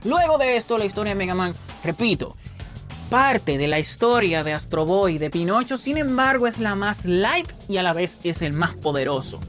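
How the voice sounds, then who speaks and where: A woman's voice: medium pitch, animated, normal speed. A woman hosting a geek show, giving explanatory commentary in an emphatic way with frequent asides. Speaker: host of a geek culture show. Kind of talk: explanatory commentary on video game lore. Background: background music.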